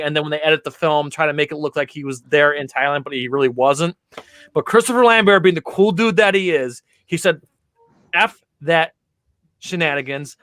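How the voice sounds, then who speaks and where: A man's voice: a little high, a bit bright, clear, resonant tone, dry recording. A man co-hosting a casual podcast chat, telling stories with enthusiasm and plenty of fillers. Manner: enthusiastic, rambling storyteller with many fillers. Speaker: podcast co-host.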